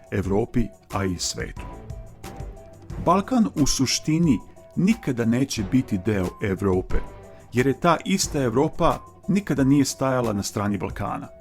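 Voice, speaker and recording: A man narrating his channel's video in an earnest, measured, formal voice-over. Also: mid-range voice, darkish tone. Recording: faint music underneath.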